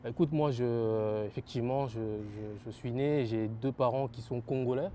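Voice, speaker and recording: A man's voice: medium pitch, moderate pace, mellow, a little hiss or hum. A young French man giving earnest interview answers about his rap label, repeating phrases and using many fillers. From France.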